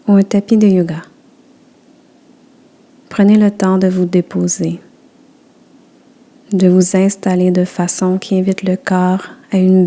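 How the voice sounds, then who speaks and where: A woman guiding a yoga nidra relaxation session, giving soft, soothing instructions. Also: mid-range voice. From Quebec. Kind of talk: guided relaxation.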